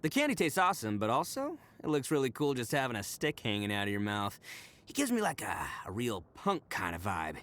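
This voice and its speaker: A man's voice: mid-range voice, huge pitch swings, a little fast, fairly bright tone. A man voicing a brash, boastful, big-hearted young oni gang boss in a video game, boisterous and slangy in a theatrical way. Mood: cheerful. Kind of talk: video game character voice acting.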